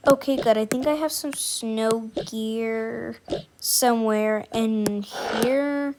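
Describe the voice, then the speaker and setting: A young woman with a fairly high voice improvises a roleplay voiceover. She speaks slowly and haltingly, muttering to herself as she goes. The recording is heavily noisy, as if made casually at home. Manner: unscripted, halting, with repeated self-talk. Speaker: video creator voicing a roleplay.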